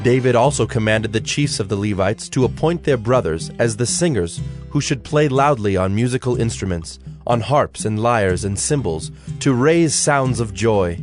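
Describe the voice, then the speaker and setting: A man's voice: fairly low pitch, expressive. Solemn male scripture narrator over music. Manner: formal, measured, solemn.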